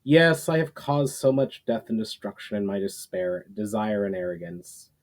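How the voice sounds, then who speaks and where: A man's voice: fairly low pitch, normal speed, lightly acted. Man reading as weary, repentant fallen warlord. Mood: regretful.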